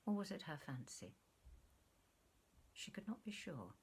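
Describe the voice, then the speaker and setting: Female audiobook narrator for literary fiction, expressive and dramatic, with frequent hushed, breathy delivery. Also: fairly low pitch, unhurried. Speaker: actor reading a short story.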